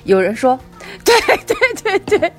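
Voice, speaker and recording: A woman's voice: very high-pitched, huge pitch swings, slow pace, soft background music. A woman co-hosting a podcast, chatting casually in an amused, talkative way. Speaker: podcast co-host. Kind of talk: casual chat.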